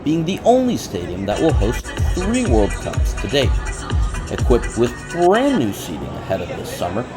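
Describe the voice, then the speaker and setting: A man's voice: medium pitch, huge pitch swings, normal speed, music in the background. A man narrating a scripted sports report.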